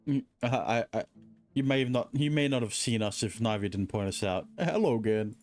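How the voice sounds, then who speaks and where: Guy streamer reading game text out loud, jokey, mid pitch, game music under. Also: a little fast. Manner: joking, laughs between lines. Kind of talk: reading game dialogue aloud with casual commentary.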